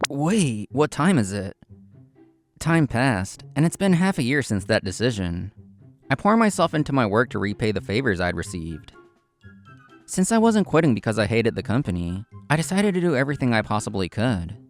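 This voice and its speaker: A man's voice: medium pitch, sing-song, moderate pace, soft background music, lightly acted. A man playing a proud, competitive young consultant in a manga drama, intense and curt, narrating and speaking his lines. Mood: earnest narrating. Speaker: narrator and main character.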